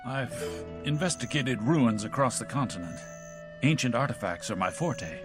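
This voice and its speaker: A man plays a self-assured fantasy game explorer. His medium-pitched voice is confident and easygoing, set over prominent music. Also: lively intonation, moderate pace, close to an everyday voice. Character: confident, easygoing male adventurer and relic expert. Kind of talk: video game cutscene acting. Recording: loud music behind the voice.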